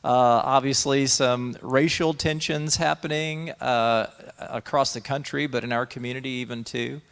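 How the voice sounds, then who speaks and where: A man's voice: mid-range voice, fairly even intonation, normal speed, vocal fry, fairly bright tone, clean tone. A man, a pastor, hosting an interview and talking in a friendly way, laughing easily.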